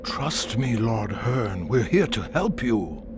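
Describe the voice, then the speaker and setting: A man's voice: mid-range voice, very animated, takes it slowly, big-room sound, music in the background. An actor playing an erudite, self-assured male guide in a video game, articulate and dryly pompous. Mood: placating. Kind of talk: video game cutscene acting.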